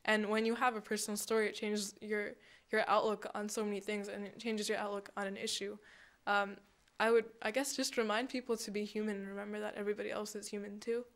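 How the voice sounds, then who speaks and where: A woman's voice: mid-range voice, little pitch movement, quick pace, a bit bright. A woman answering interview questions conversationally, earnest and searching for her words.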